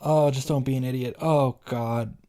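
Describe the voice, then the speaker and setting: A man's voice: medium pitch, steady tone, normal speed, dark tone. A man giving casual, sweary, exasperated commentary as he reacts to a visual novel. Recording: music behind the voice. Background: music.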